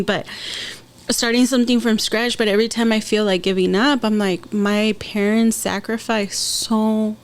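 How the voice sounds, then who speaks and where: A woman hosts a casual podcast in a smooth, clear voice. She talks in a warm, chatty way, calls her guest "girl," and drops easily into Spanish phrases mid-sentence. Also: medium pitch. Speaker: podcast host.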